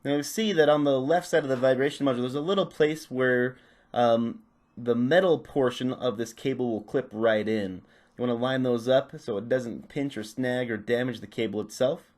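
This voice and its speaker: A man's voice: medium pitch, normal speed, darkish tone. Man talking through a hands-on repair tutorial. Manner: unscripted, narrating while working. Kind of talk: casual step-by-step hands-on instruction.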